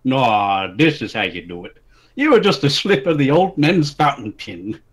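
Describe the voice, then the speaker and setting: A man's voice: medium pitch, big pitch swings, moderate pace. A man voicing a grumpy, smug bearded brute who taunts with rustic grammar in a storybook. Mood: taunting.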